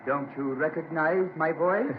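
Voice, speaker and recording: A man's voice: a little high, narrow, tinny audio, close to an everyday voice. An actor playing the vengeful ghost of a murdered man in a 1940s radio horror drama, coldly taunting and mocking. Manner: slow, menacing, mocking delivery. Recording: thin, noisy 1940s radio recording.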